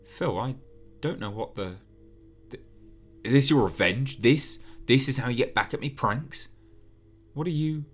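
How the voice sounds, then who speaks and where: Man putting on a scared young woman, stammering, begging, high and strained. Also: unhurried, husky, faint music behind. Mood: accusing. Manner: stammering, pleading. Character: frightened, desperate young woman pleading.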